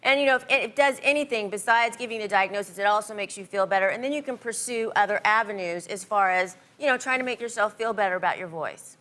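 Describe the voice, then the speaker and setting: A woman's voice: mid-range voice, quick pace, few pauses, a bit bright. A female doctor on a TV talk show, chatting with a caller in an encouraging way. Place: TV studio.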